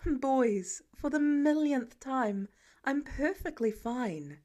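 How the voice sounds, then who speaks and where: A woman's voice: sits high, lively intonation, slow, darkish tone. A woman voicing an exasperated, teasing wife in a story, with a wry, firm manner.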